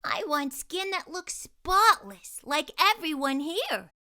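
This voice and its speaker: A woman's voice: very high voice, animated, takes it slowly, pushed and bright, voice pushed up, heavily acted. A woman voicing a self-conscious, wide-eyed young girl in a storybook, with a childlike, wondering manner. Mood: wistful.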